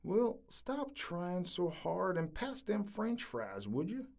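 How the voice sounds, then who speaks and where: A man playing a gruff, folksy, skeptical teammate who drawls, in an audio drama. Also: high voice, wildly swooping pitch, takes it slowly, a little mellow, heavily acted. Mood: dismissive.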